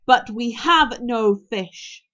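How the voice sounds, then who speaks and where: A woman's voice: fairly high pitch, moderate pace, mellow, husky, dull audio. A woman voicing an earnest, exasperated young Viking boy in a storybook. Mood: strained, firm.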